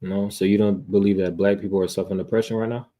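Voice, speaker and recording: An American man debating casually in street slang, confrontational, often repeating words and clearing his throat. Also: lowish voice, steady tone, fast, dark tone. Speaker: debater on a religious livestream.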